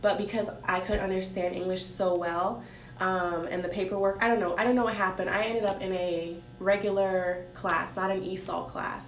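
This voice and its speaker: A woman from Haiti telling her life story in a casual talk, thoughtful and pausing with many ums. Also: little pitch movement. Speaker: guest sharing her story. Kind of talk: casual conversation. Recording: roomy with faint rumble.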